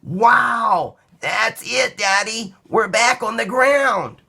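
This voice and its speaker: An excited little boy from a storybook, acted out by a man. The voice is pitched up high and bright, full of eager curiosity and wide-eyed wonder. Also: drawn-out words, vocal fry, husky, exaggerated voice. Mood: amazed.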